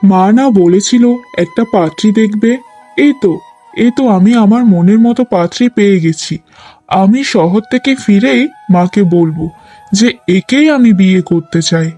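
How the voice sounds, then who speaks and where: A man's voice: high-pitched, moderate pace, mellow, soft background music. A man voicing an earnest, resolute young man in love in a cartoon story. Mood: smitten. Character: resolute, kindly lovestruck young man of good family.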